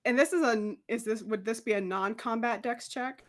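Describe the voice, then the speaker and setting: A woman's voice: on the high side, brisk, a little hiss or hum. A woman playing a tabletop roleplay game, chatting casually as a player.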